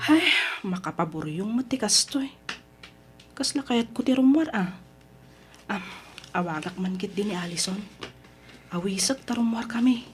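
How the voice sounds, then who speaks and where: A woman playing a chatty young best friend in an Ilocano radio drama, teasing and animated. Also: mid-range voice, normal speed, pauses often, faint music behind, natural voice. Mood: restless.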